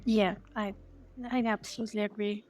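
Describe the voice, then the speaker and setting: A woman's voice: mid-range voice, little pitch movement, normal speed, darkish tone. A woman hosting a podcast interview in a casual, effusive, gushing way. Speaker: podcast host interviewing game creators.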